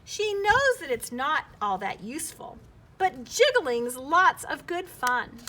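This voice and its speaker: High, animated woman reading to children. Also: slow pace. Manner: expressive, simple child-directed prompts and counting. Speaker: teacher reading aloud to children. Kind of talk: children's picture book read-aloud.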